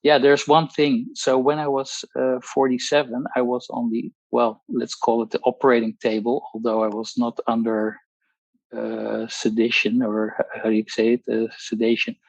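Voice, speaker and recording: A man in his sixties with a Dutch accent, speaking English as a non-native speaker over a video call. His voice sits at a middle pitch with a dry, close sound and no room echo. He hesitates often and restarts his phrases, with careful technical wording. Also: little pitch movement, normal speed, creaky. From Netherlands. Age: 60s. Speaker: guest, pharmacologist. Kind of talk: personal testimony on a group call.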